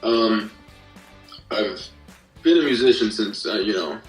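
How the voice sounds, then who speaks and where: A man speaking as an interview guest in casual chat, haltingly rephrasing as he tells his own story. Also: lowish voice, expressive. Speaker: podcast guest, guitarist. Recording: roomy, distant mic, faint music.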